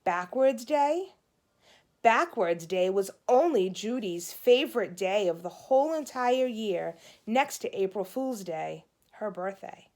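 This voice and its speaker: Slow, expressive woman reading to children. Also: mid-range voice. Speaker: storybook reader, teacher. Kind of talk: expressive read-aloud narration for children.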